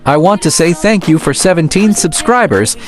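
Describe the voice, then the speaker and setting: A man's voice: a little high, lively intonation, normal speed, soft background music. A man, a video creator, casually thanking viewers in an informal outro.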